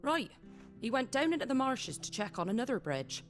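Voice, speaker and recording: A woman's voice: fairly high pitch, very animated, normal speed. An actress playing a guarded, plain-spoken fantasy landlady with an English accent and blunt colloquial talk, in a video game. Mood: earnest. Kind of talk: video game cutscene acting. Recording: game music behind the voice.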